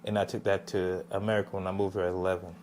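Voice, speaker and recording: A man's voice: sits low, flat delivery, very fast, darkish tone, a little hiss or hum. A young man from Jamaica, a guest on a podcast, talking casually and reflectively, with many fillers and "you know what I'm saying". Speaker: podcast guest, music artist. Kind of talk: casual interview talk.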